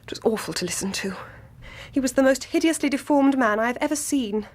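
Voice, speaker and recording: An actress playing an earnest, troubled young gentlewoman in a radio drama, speaking gravely and formally. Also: sits high, a little fast. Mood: haunted.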